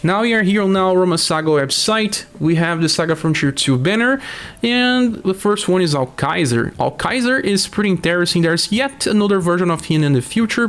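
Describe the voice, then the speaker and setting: A man with a Brazilian Portuguese accent and a sore throat from the flu, hosting his gaming channel and explaining game news in a casual way with many pauses. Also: high-pitched. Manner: explanatory, talks through stats with frequent "uh" pauses.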